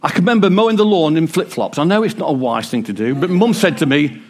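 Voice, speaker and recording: A man preaching a sermon in church, a bit croaky, with a joking, warm delivery. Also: fairly high pitch, lively intonation, fast. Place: church hall with congregation. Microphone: wireless mic.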